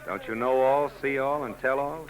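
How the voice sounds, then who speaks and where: An actor playing a sardonic hardboiled private detective who also narrates, in a 1940s radio drama. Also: male voice, medium pitch, fairly even intonation, moderate pace, crowd noise, lightly acted, low-quality recording. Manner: dry, sardonic. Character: wisecracking hardboiled male private eye.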